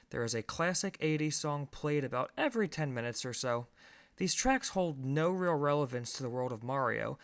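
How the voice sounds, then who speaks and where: Higher-pitched man delivering film commentary. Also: fairly bright tone. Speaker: film reviewer and host. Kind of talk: scripted review commentary.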